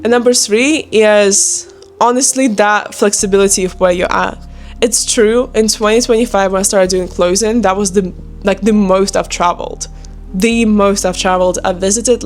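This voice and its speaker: Young Ukrainian-accented woman vlogger over music. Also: mid-range voice. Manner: informal, emphatic, repetitive encouragement. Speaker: online video creator and sales closer. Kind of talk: casual motivational chat to camera.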